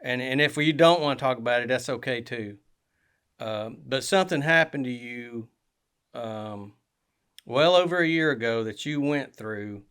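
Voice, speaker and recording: A man's voice: medium pitch, moderate pace, fairly bright tone, clear, resonant tone. A man, co-hosting a podcast in casual chat, rambling with many fillers and restarts. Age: 20s. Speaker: podcast co-host.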